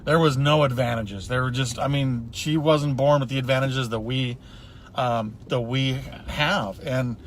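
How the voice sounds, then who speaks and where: A man's voice: mid-range voice, fairly even intonation, unhurried, a little hiss or hum. A man hosting a casual interview, chatty and enthusiastic, heaping praise on his guests. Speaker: host.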